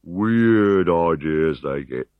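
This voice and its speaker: A man voicing a big, good-natured Gaul who talks slowly and ponderously. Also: low-pitched, animated, no room sound, phone-quality sound, full character voice. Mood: baffled. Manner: slow, ponderous, pleading.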